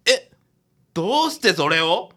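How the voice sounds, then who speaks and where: Man doing a goofy hapless young guy, bright sharp voice, swoops all over, radio play. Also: sits high, sing-song, a little slow, heavily acted. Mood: flustered. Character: hapless, guileless, excitable young male neighbour. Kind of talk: radio drama dialogue.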